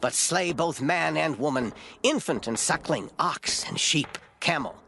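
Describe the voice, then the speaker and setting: A man's voice: fairly high pitch, lively intonation, unhurried, creaky, bright, forceful tone, a little hiss or hum, heavily acted. A man playing a severe Hebrew prophet in a dramatized scripture recording, solemnly declaiming a divine command.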